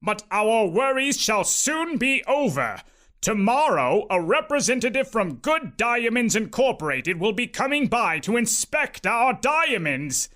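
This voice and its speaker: A man voicing a stern, pompous, greedy rich uncle who speaks slowly and grandly in a storybook reading. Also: very high voice, very animated, bright, forceful tone, voice pushed up, heavily acted. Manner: pompous, slow, decreeing.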